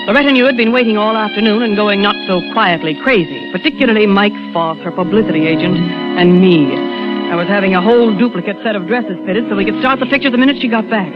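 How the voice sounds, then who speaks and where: A woman's voice: mid-range voice, lightly acted. An actress narrating as a female stand-in in a wry, confiding manner, in 1940s radio drama style. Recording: lo-fi old radio broadcast with music.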